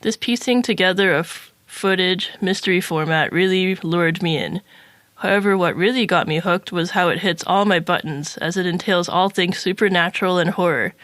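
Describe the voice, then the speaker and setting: A woman's voice: fairly low pitch, normal speed, a bit bright, no room sound. A woman co-hosting a podcast, reading out a prepared review in a scripted style.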